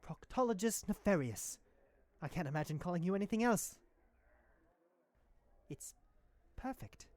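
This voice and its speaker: An actor plays a supervillain's loyal sidekick in a comedic audio drama. The manner is dry and matter-of-fact but supportive, with pitch that moves freely up and down. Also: lively intonation, a little slow, pauses often, darkish tone, no echo, lightly acted. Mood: encouraging.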